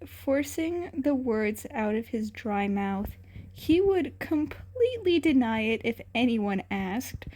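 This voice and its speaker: High-pitched woman narrating a story. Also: dark tone. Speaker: fan fiction narrator.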